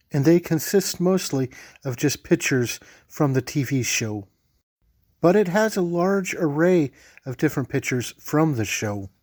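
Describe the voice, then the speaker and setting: A man giving a casual, unscripted game review in a halting, plain-spoken way, as a reviewer talking to viewers. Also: medium pitch, a little mellow. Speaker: YouTube tabletop game reviewer.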